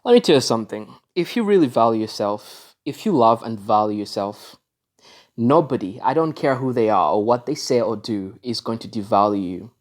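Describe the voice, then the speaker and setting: A man's voice: fairly low pitch, animated, moderate pace. A man from Africa giving reaction commentary on a video, lecturing his viewers with frequent restarts and fillers.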